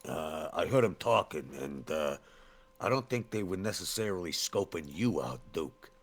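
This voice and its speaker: A man's voice: deep voice, expressive, moderate pace. A man chatting casually as a player in a tabletop roleplaying game.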